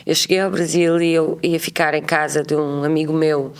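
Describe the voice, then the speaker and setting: A woman's voice: sits low, normal speed, clean tone. Chatty Portuguese woman telling travel stories. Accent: European Portuguese. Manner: chatty, animated, acts out quoted voices. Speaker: guest. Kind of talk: casual storytelling interview.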